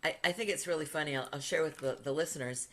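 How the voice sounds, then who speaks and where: A woman's voice: sits low, fast talker, fairly bright tone. Chatty upbeat woman hosting an interview. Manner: chatty and upbeat, laughs while telling stories. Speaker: podcast host, retreat leader. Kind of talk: casual interview conversation.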